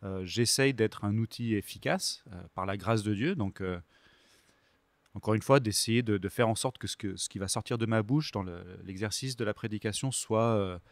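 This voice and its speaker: Low-voiced man from France, pastor guest, measured and halting, dry booth sound. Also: no room sound. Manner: thoughtful, halting with many fillers. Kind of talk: podcast interview guest.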